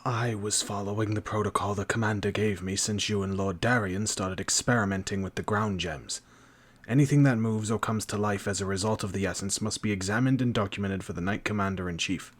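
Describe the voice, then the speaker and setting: Guy playing a by-the-book knight in a fantasy audio rp, raspy lowish voice, formal n earnest. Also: fairly even intonation, a little fast, natural voice. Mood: defensive. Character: dutiful, rule-following knight officer. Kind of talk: fantasy audio drama acting.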